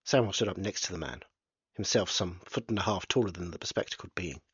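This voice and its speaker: Man, very deep voice, husky, plain unpolished reading of his novel, dry lo-fi sound. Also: quick pace, a bit bright, no room sound. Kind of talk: storybook narration.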